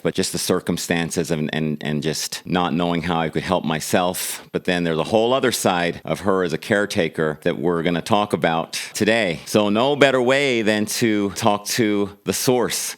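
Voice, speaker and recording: A man's voice: sits low, smooth. A man in his fifties hosting a candid sit-down talk, earnest and emotional, often repeating words as he searches for them. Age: 50s. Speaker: vlog host.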